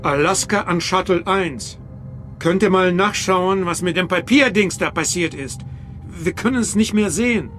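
A sceptical but accommodating ship's lieutenant gives brisk orders in a radio play. His voice sits high for a man. Music runs behind it. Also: fairly bright tone. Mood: commanding, tense. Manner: clipped questions and orders. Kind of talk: radio drama acting.

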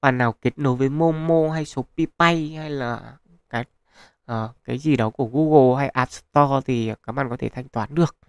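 A man with a gravelly, fry-tinged voice talks casually over his screen recording, giving viewers advice with lots of fillers. His mid-pitched voice is recorded close and dry. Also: moderate pace, no room sound. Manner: chatty, advising viewers, many fillers. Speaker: gaming channel host. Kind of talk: casual gameplay commentary. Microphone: close mic, voice-over on screen capture.

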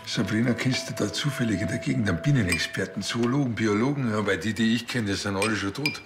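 A man's voice: on the low side, fast talker, over music, natural voice. An actor playing a chatty, awkward rural policeman with a Bavarian accent and a rambling, earnest manner. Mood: awkward, flirtatious. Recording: loud vehicle and ambience noise.

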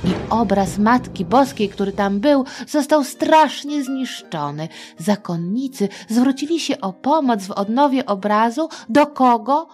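A woman podcast host telling history stories for children in a chatty, playful, expressive way with little asides to the listener. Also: a little high, a little slow, hardly any pauses, faint music behind. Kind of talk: informal history storytelling for children.

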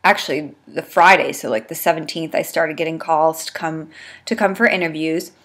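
A woman in her thirties with a low, stuffed-up voice talks to her webcam from a small room at home. Her delivery is chatty and rambling, with lots of ums between thoughts. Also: normal speed, a bit bright. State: congested. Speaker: beauty vlogger. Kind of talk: casual chat to camera. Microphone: webcam at desk.

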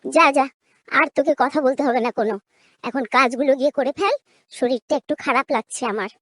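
Woman as cartoon lady of the house, very high voice, stubborn, firm, familiar, dry sound. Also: brisk, a bit bright, dry recording. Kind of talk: cartoon drama voice acting.

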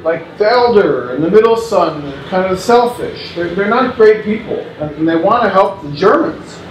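Man, 40s, measured reader with halting restarts; distant mic, faint room ambience. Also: high-pitched, animated, echoey room, a little hiss or hum. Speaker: performer reading interview notes. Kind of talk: reading recorded interview answers aloud. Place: small indoor gallery room with hanging paper strips. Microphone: far camera mic.

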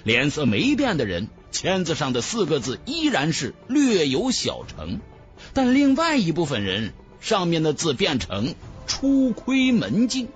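A male narrator tells a fantasy tale in dramatic audiobook style. His voice sits a little high and swings widely in pitch. Faint music plays behind him. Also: wildly swooping pitch, a little slow. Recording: music under the voice.

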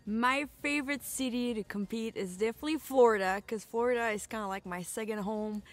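A woman in her thirties from Canada, a monster truck driver, answering cheerfully in an interview in a dark studio. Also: on the high side, fairly quick.

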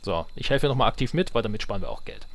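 A man's voice: lowish voice, huge pitch swings, very fast, gravelly. A man giving casual let's play commentary, thinking aloud in colloquial speech. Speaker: let's player.

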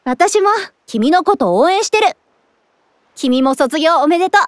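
An actress playing a friendly young woman teacher in an anime audio drama, with an expressive, airy way of talking. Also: high-pitched, a little slow, no room sound, clean studio sound. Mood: cheerful.

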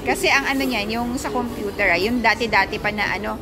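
A woman's voice: fairly high pitch, fast, over loud music. A woman with a Filipino accent, chatting casually. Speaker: vlogger. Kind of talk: casual chat. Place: busy restaurant and mall interior. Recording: very noisy, crowded public place. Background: loud crowd chatter and ambient noise.

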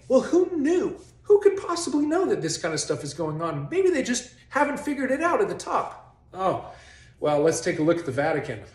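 A man in his forties hosting a faith video, giving sarcastic, mock-incredulous commentary straight to camera in a bright living room. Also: sits high. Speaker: host of a faith video series. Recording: roomy echo in a home.

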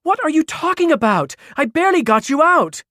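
A man plays a young woman in an audiobook story. The voice sits high, brisk and colloquial, with a protective, incredulous edge. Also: no echo, clean studio sound, lightly acted.

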